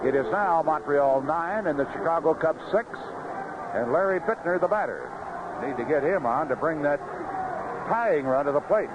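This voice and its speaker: A male baseball announcer with a slightly high voice calls the game in a conversational, folksy way. It is a dull vintage radio broadcast from an outdoor booth, with clear background noise. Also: animated, normal speed. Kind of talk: live radio baseball commentary.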